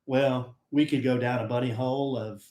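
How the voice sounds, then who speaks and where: A man's voice: medium pitch, fairly even intonation, moderate pace, a little mellow, echoey room. A middle-aged man co-hosting a podcast, chatting easily with his wife and steering the talk back on topic. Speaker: podcast co-host. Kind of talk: casual chat with spouse.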